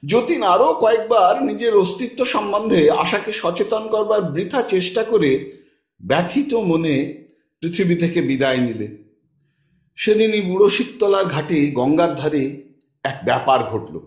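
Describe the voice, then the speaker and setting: A man narrating a literary audiobook expressively, with dramatic, deliberate phrasing and pauses. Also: sits high, expressive, echoey room.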